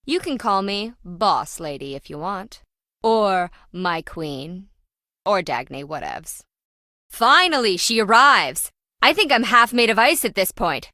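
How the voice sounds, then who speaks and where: A woman's voice: mid-range voice, very animated, measured pace, bright, forceful tone, no room sound. A brash treasure-hunting businesswoman for a video game. She is flippant and self-important, griping in slangy, sarcastic lines. The recording is dry and close, with no room around her. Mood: sardonic, bossy. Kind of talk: video game character dialogue.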